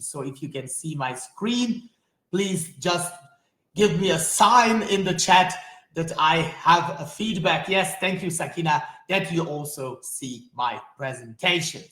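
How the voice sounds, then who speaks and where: A man's voice: fairly high pitch, fairly bright tone, roomy sound. A man from Hungary, a native Hungarian speaker with a Hungarian accent, giving an enthusiastic, friendly online webinar presentation and speaking straight to his audience.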